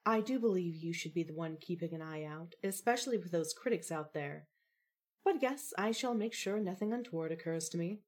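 A woman's voice: fairly low pitch, lively intonation, brisk. A woman playing a formal, wry and caring young sister in an audio drama, with precise wording. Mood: wry, fond.

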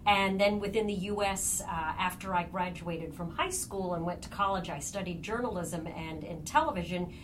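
A woman's voice: lowish voice, moderate pace, few pauses, low-quality recording. A middle-aged woman with an American accent, telling personal stories casually as a podcast guest. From born in Kenya, raised partly in Tanzania and the US. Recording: clear background noise.

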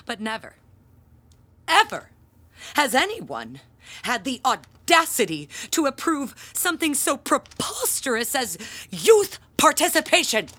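Casting: an aggrieved, pompous church lady for audio drama, higher-pitched, grandly melodramatic and indignant. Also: huge pitch swings, unhurried, pushed and bright, full character voice. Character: aggrieved, pompous church handbell ringer, a self-dramatizing woman. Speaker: parishioner in confession. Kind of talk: audio drama acting, theatrical monologue.

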